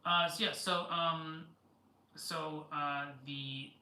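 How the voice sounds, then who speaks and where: Bright-voiced man rambling on a live stream. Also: fairly high pitch, fairly even intonation, slow pace, echoey room, slight background noise. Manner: rambling, many fillers and restarts, chats with viewers. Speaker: livestream host. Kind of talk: casual live-stream monologue.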